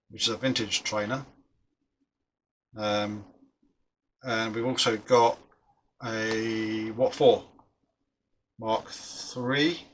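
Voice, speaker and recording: Bright-voiced chatty man at a workbench. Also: on the low side, slight background noise, poor audio. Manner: chatty and rambling, often restarting sentences. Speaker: model aircraft builder and channel host. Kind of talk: casual chat while working on a model.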